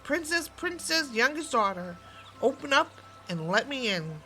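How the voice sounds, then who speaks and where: An insistent little storybook frog, acted out by a woman reader, pitched high and calling out. It pleads and demands like a creature set on being let in, with music clearly heard behind it. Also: very animated, measured pace, sharp tone, full character voice, low-quality recording. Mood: demanding. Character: pleading, insistent talking frog from a fairy tale.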